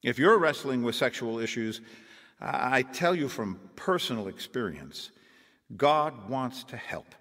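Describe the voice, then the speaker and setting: Animated man narrating a testimony. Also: mid-range voice, measured pace, in a large hall. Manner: earnest, preaching, quotes scripture.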